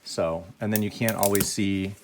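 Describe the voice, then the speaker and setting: Gravelly low-voiced man with a cold. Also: steady tone, moderate pace, slight background noise. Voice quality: deeper than usual, gravelly. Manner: plain, chatty, grading each item out loud. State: has a cold. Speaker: toy unboxing vlogger. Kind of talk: casual unboxing commentary.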